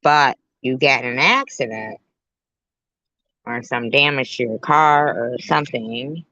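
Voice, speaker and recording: A woman's voice: a bass voice, measured pace, sharp tone, dry recording, lo-fi sound. A woman in her fifties hosting a reaction commentary, blunt and sarcastic, often repeating herself and swearing. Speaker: commentary host.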